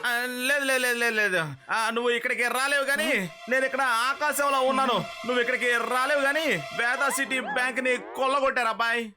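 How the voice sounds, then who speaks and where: A man's voice: very high-pitched, fairly even intonation, quick pace. A man voicing a pompous, boastful cartoon police officer who blusters and repeats himself when flustered. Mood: flustered, urgent. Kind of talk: exaggerated cartoon voice acting. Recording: music under the voice.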